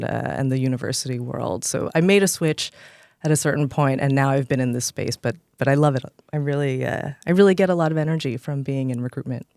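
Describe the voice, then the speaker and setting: A woman's voice: a bass voice, a little mellow, dry recording, clean studio sound. A woman from Los Angeles with an American accent, a guest on an interview podcast, speaking in a friendly and fluent way with frequent restarts. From Los Angeles, United States. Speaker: head of talent acquisition, guest.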